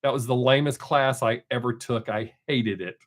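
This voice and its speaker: A man's voice: medium pitch, fairly even intonation, normal speed. Man, middle-aged, live stream host, casual rambling chat, desk microphone. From American South. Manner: chatty, rambling, reads chat aloud.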